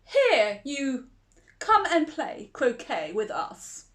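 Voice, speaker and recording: A woman voicing a bossy queen of cards from a storybook, shouting high and loud. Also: wildly swooping pitch, takes it slowly, full character voice. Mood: commanding. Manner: shouting, imperious.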